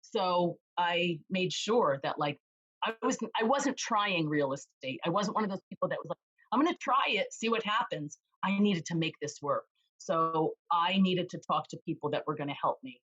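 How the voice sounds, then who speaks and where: A woman real estate agent chatting as an interview guest, with a loud voice, telling stories lively and acting out what others said. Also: on the low side, normal speed, slightly rough, dull audio. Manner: animated storyteller, acts out quoted voices. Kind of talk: casual interview chat.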